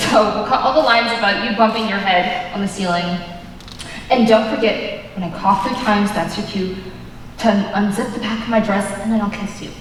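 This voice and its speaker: A young woman playing a brisk, bossy stage manager in a play, recorded from far off in an auditorium. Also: medium pitch, natural voice. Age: young adult. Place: high school auditorium stage. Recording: reverberant hall from far off, faint noise.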